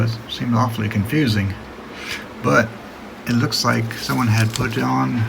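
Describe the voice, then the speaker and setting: A man with a gravelly voice narrating a casual hands-on video, hesitantly thinking aloud as he works. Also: mid-range voice, background noise. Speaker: hobbyist radio restorer narrating own video.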